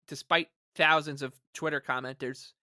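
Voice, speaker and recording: A man co-hosting a casual sports podcast, rambling along in an animated, emphatic way. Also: a little high, normal speed, no echo, studio quality. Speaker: podcast co-host.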